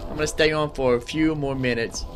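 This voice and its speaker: A man's voice: on the high side, steady tone, fairly quick, music in the background. A man doing casual live gameplay commentary, thinking aloud and often repeating himself. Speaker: game streamer.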